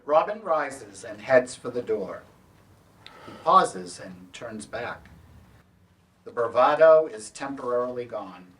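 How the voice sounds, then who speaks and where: A man's voice: mid-range voice, expressive, slow, fairly bright tone. A man introducing a staged play reading and narrating its stage directions to an audience. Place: library event room, staged reading. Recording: distant mic, noisy room ambience.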